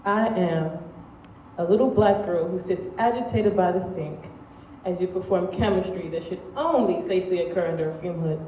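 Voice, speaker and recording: A woman's voice: lowish voice, moderate pace. Young woman reciting a poem on stage, rhythmic, muffled n echoey. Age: young adult. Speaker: student spoken-word performer. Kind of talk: poetic monologue on stage. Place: stage in a large room. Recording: muffled, echoey, distant, faintly noisy.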